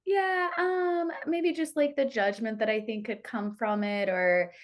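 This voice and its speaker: A woman's voice: fairly high pitch, a little slow. A woman taking part in a workshop who shares her worries hesitantly, with many fillers. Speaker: workshop participant. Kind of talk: casual sharing.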